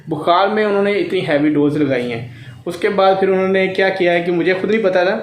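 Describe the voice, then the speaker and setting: A man, likely in his thirties, talking casually to camera as a family vlogger, explaining things and repeating his advice. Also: a little high. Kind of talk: casual vlog talk to camera. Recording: echoey room sound, clear background noise.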